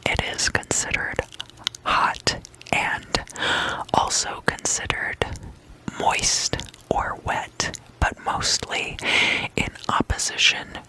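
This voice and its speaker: An ASMR host whispering a guided meditation lesson in a soft, breathy, unvoiced voice, unhurried and often repeating words softly. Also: poor audio.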